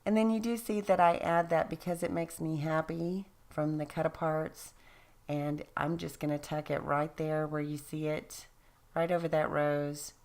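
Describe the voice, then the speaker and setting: A woman narrating her craft project in a casual voice-over, tired and a little raspy, chatty and self-deprecating. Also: low voice. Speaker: craft video host.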